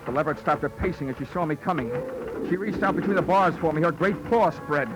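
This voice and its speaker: An American actor playing a dry, deadpan, hard-boiled insurance investigator narrating his case in a 1940s radio drama. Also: fairly high pitch, fairly quick, lightly acted, poor audio. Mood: wry, tense narration. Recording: old 1940s radio recording, music behind.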